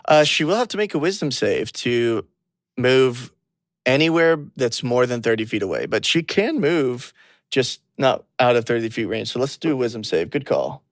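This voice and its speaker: Male game master with lively pitch swings. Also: normal speed, no echo, studio quality. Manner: informal, conversational. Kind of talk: running a tabletop game. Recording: faint music bed.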